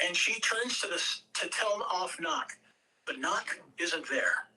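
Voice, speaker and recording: A woman reading stage directions as narrator at a table read, measured, with occasional stumbles over words. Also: fairly low pitch, sharp tone, distant mic, poor audio.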